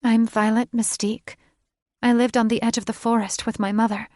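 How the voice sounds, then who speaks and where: A woman voicing a young witch who speaks calmly and plainly. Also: fairly high pitch, brisk, dry recording, studio quality, voice pushed up, natural voice. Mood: explaining. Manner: calm, bright and matter-of-fact. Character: young witch apothecary, calm and freed.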